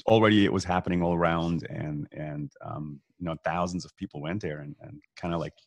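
A man's voice: low voice, fairly even intonation, brisk, vocal fry, no room sound. A man from Switzerland with a Swiss German accent, a native Swiss German speaker, chatting casually as a guest with many fillers and restarts. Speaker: guest, event announcer. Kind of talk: casual video call chat.

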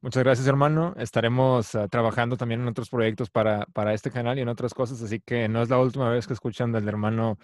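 A man hosting a faith interview, asking measured, polite questions and closing the episode. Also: mid-range voice, steady tone, a little mellow, no echo, studio quality. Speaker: host, interviewer. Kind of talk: interview and channel outro.

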